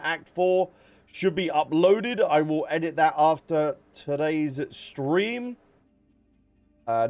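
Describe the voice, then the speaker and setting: A man's voice: on the high side, a little mellow. A man hosting a livestream, talking casually and informally to his viewers in a chatty way. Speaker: live streamer, host.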